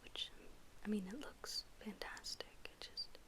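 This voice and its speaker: A woman speaking in a breathy whisper for an ASMR dentist role play, gently reassuring and halting, with pauses. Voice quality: breathy, mostly unvoiced whisper.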